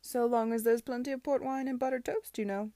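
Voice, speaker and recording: A woman voicing an eager, jovial young gentleman in an audiobook, buoyant and chummy. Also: fairly high pitch, steady tone, a little fast, no echo, clean studio sound, voice pushed up, natural voice. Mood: cheerful, eager. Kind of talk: audiobook character dialogue.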